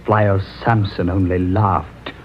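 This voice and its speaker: An actor playing a smug, menacing fortune-teller who speaks deliberately and ominously in a vintage horror radio drama. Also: male voice, sits low, level tone. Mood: smug menace. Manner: deliberate, ominous, mocking chuckles. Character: sinister male fortune-teller, smugly menacing and unhurried. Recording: muffled, noisy vintage radio recording.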